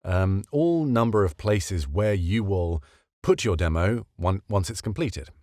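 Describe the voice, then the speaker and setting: Guy with a lowish voice explaining stuff like a coach, chatty, asks questions as he goes, super dry close sound. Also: expressive, unhurried, a little mellow, dry recording. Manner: conversational, rhetorical questions, emphatic repetition. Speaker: voiceover coach giving career advice. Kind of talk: casual explanatory talk to camera.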